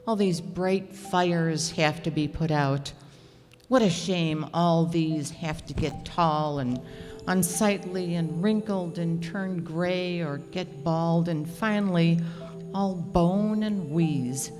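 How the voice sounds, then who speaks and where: A woman's voice: on the low side, a little mellow. A woman acting a reflective, melancholy older woman in a radio play monologue. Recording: echoey hall, music behind.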